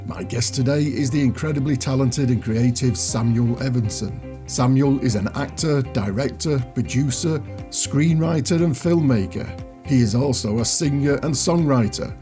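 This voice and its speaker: Mellow-voiced podcast host. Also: male voice, mid-range voice, moderate pace, faint music behind. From Greater Manchester, England. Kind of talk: scripted introduction, then casual interview questions.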